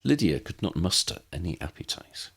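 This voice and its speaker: A man narrates a scripted story in a low, gravelly voice. His delivery is expressive and dramatic, swinging widely in pitch, with a husky edge to the tone. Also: normal speed. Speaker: audiobook narrator reading fan fiction.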